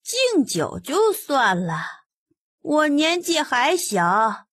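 A man voicing a precocious, nonchalant eight-year-old boy emperor in an audiobook, with a tender childish voice, speaking slowly and deliberately. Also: wildly swooping pitch, fairly bright tone, no room sound, clean studio sound, exaggerated voice. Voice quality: childish, tender high-pitched voice. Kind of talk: audiobook character voice.

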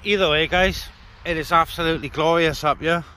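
A man's voice: on the high side, fairly even intonation, a little fast, pushed and bright. A man chatting casually and colloquially to a handheld camera outdoors, as a CB radio hobbyist making a vlog. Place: outdoors on open, breezy moorland beside a car. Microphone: handheld phone or camera, operator behind it. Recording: noisy outdoor recording, vehicle and ambient noise.

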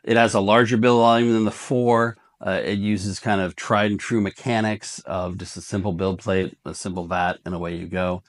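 A man's voice: fairly low pitch, steady tone, moderate pace, hardly any pauses. A man in his forties hosting a review video, giving casual, blunt product advice in colloquial language, often checking in with a tag question. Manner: blunt, colloquial, often tags points with "right?". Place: indoor workshop room with shelves of parts.

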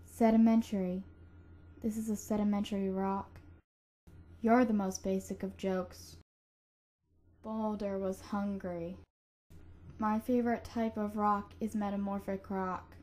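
A woman puts on a dull, serious young cartoon mare. The delivery is slow, completely flat and deadpan, in a soft dark tone. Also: medium pitch, voice pushed down, natural voice. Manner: deadpan, monotone. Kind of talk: cartoon character voice acting.

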